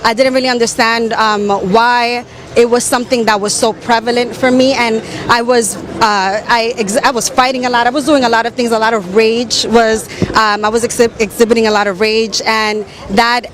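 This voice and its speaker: A woman from the Caribbean and New York City giving candid personal testimony as an interview guest, halting as she searches for words. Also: a little high, level tone. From born in the Caribbean, raised in New York City. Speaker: interview guest, survivor advocate and theater storyteller.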